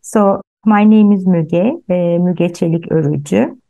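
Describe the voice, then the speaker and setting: Gravelly low woman from Turkey. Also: a little slow, mellow, low-quality recording. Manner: friendly, hesitant phrasing with fillers. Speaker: guest, clinical counselor. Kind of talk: casual video call chat. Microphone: video call.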